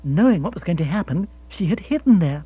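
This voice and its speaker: A man voicing a brisk, proper aristocratic wife in an audiobook, with a husky voice and a crisp, matter-of-fact delivery. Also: high-pitched, very animated, no echo, voice pushed up, full character voice. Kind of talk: audiobook character voice. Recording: dull, dry, slightly lo-fi recording.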